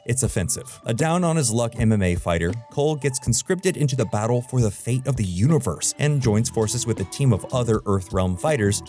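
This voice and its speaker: A man's voice: mid-range voice, a little mellow. A man narrating a scripted video essay in a wry, opinionated, conversational way.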